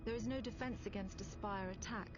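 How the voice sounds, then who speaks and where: A woman playing a composed officer who recounts a war in measured, grave, matter-of-fact scripted narration. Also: mid-range voice, muffled recording, natural voice. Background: clear background music.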